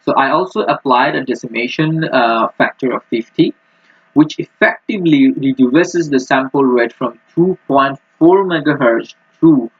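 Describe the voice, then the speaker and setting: Husky guy explaining tech stuff step by step, kinda hesitant, dull lo-fi mic. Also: mid-range voice. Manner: halting, hesitant delivery. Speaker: student presenter. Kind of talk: technical screen-recording walkthrough. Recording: muffled, roomy lo-fi microphone.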